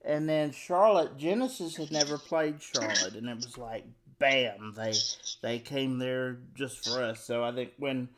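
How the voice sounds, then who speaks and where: A man's voice: medium pitch, a little slow. A man hosting an interview, talking eagerly in a casual way and often repeating words. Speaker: show host, interviewer.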